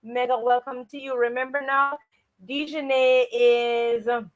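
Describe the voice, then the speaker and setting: A woman's voice: high voice, level tone, measured pace, highs cut off. A woman co-hosting a children's livestream, greeting viewers and often repeating set welcoming phrases.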